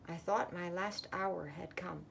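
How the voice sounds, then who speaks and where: A woman's voice: on the low side, unhurried, vocal fry, a little hiss or hum, pitched below the performer's own voice. A woman voicing a boastful, coaxing female plum in a storybook, with a showy, sing-song delivery. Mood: dramatic.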